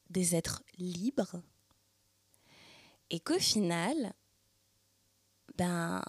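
A young adult woman from the Paris region talking through a personal reflection in a thoughtful, searching way, with many pauses and fillers. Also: medium pitch, breathy edge, no room sound. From Paris region, France. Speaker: speaker sharing personal testimony.

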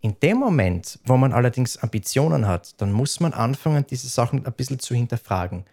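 Measured man with a dialect. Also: medium pitch, very animated, creaky, darkish tone. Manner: sober, measured, explains at length with restarts. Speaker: streamer. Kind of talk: conversation.

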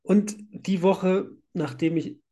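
A man's voice: high voice, steady tone, takes it slowly, mellow. A man in his thirties with a cold, hosting a casual podcast and rambling along cheerfully with frequent laughs. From Germany. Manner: chatty, laughs often, rambling. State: has a cold. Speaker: podcast host, site manager.

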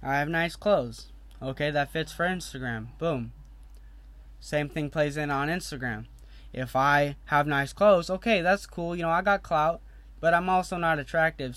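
A man's voice: on the high side, fairly bright tone, dry recording. A young man hosting his own podcast, ranting bluntly and heatedly, swearing freely and breaking off into casual asides. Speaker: podcast host.

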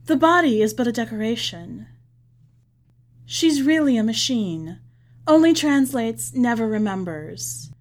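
A woman's voice: fairly high pitch, lively intonation, measured pace. A woman voicing a proud male envoy who gushes and boasts in a read-aloud story. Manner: gushing, boastful.

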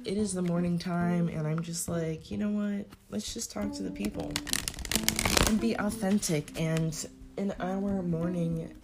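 A woman with a raspy morning voice talking casually as a spiritual tarot reader, rambling and searching for words with many fillers. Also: fairly low pitch, fairly even intonation, measured pace, loud music behind the voice.

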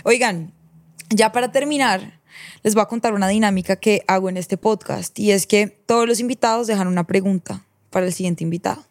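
A woman's voice: medium pitch, brisk, no echo, studio quality. A woman hosting a podcast, playful and teasing in casual chat. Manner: playful, teasing, laughs easily. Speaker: podcast host. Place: podcast studio.

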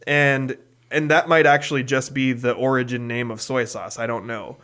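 A man's voice: mid-range voice, steady tone, moderate pace, a bit bright. A man from Hawaii hosting a podcast, chatting casually and often laughing while he talks. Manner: chatty, laughs while talking, puts on mock voices. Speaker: podcast host. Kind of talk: casual chat.

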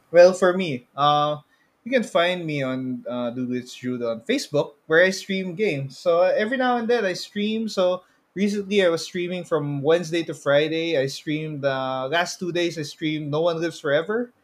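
A man's voice: fairly high pitch, moderate pace. A man hosting a podcast in a casual, rambling chat style, often repeating himself. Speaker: podcast host.

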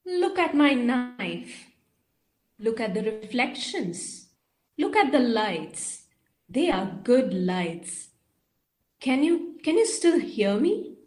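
A woman acts a commanding mind prober in an audio play. Her voice is fairly high and very measured, and she gives instructions haltingly and deliberately. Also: very animated, a little mellow. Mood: hypnotic, commanding. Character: Callista, probing, commanding female mind prober. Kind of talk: audio drama acting.

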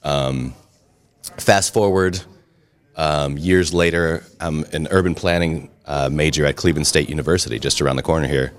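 Very deep-voiced man telling stories. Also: moderate pace. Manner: chatty, self-deprecating humor, frequent restarts. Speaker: interview guest, restaurant and brewery owner. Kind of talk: casual storytelling interview.

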